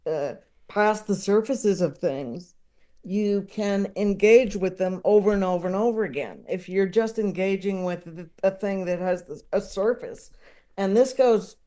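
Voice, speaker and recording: Thoughtful interview guest, slow and searching delivery, soft mellow tone, casual and reflective, often doubling back on words. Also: mid-range voice. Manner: halting, thoughtful, repeats words while searching for phrasing. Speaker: podcast guest, comedy enthusiast.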